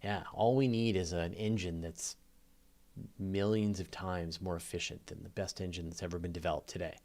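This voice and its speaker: Man, fairly low pitch, live Q&A host, conversational explainer, emphatic, unscripted. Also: steady tone, normal speed, creaky, a little mellow. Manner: conversational, emphatic, builds points through repetition and everyday analogies. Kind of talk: off-the-cuff explanatory Q&A talk.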